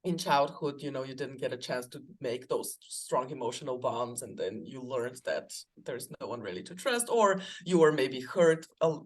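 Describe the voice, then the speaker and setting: A woman's voice: a bass voice, lively intonation, moderate pace, few pauses, rough recording. A woman from Bulgaria, a life coach guest on a podcast, talking casually and reflectively, with frequent laughs and filler words. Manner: reflective, chatty, laughs often, many fillers. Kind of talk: casual conversation.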